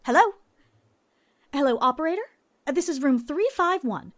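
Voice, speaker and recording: An actress playing a loyal friend in an old-time radio drama, speaking in a brisk, soothing way. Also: female voice, high-pitched, animated. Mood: businesslike. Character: loyal young woman, caring friend, practical.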